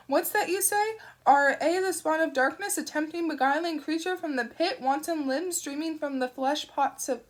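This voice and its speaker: A woman putting on a rumbling, testy old witch-hunter. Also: a little mellow. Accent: wandering British regional accents. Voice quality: rumbling, growling. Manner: blustering, testy, booms then trails off. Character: gruff, suspicious old male witch-hunter, bellowing.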